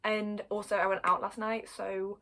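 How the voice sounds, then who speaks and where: Young British woman book vlogger, croaky voice, chatty and excitable, self-correcting. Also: medium pitch, moderate pace. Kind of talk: casual chat to camera. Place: converted attic room.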